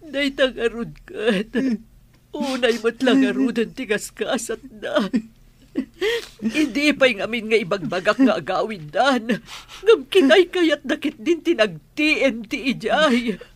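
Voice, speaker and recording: A woman playing a sorrowful elderly grandmother in an Ilocano radio drama, speaking in a husky, halting voice. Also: on the high side, animated.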